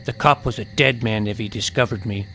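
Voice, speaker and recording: A man's voice: fairly low pitch, brisk, creaky, sharp tone, faint music behind. A man giving a dramatic, scripted first-person narration of a suspense adventure story.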